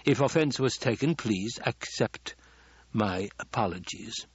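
A man voicing a haughty, easily angered banker with a stiff, raspy delivery in an audiobook. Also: mid-range voice, a little slow, a bit bright, no room sound, muffled recording, pitched above the performer's own voice. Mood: stiff, cowed. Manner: stiff, muttering, rasping speech. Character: angry, haughty, cowed male banker at dinner. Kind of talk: audiobook character dialogue.

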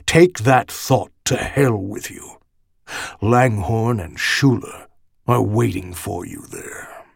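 Man voicing a menacing, gravelly ancient avenger. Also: on the low side, slow pace, dry recording, studio quality, heavily acted. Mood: cold vengeful wrath. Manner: menacing, emphatic, declaiming condemnations. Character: cold vengeful ancient executioner, an undead avenger with glowing eyes. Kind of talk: dramatic audiobook character dialogue.

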